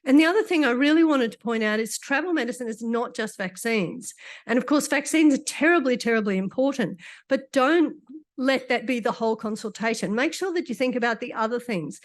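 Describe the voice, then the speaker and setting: Croaky-voiced woman giving a lecture. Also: a little high, expressive, fairly quick. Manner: conversational, with dry asides. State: croaky after illness. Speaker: doctor giving a conference talk. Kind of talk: conference lecture.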